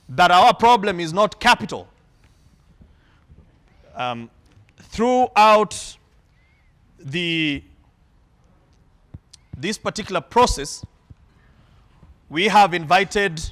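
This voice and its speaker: A man delivers a keynote from the podium, his voice sitting a little high for a man. He speaks in measured, emphatic phrases and leaves pauses between them, heard through a podium mic in a large hall. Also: lively intonation, a bit bright. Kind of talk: formal keynote address.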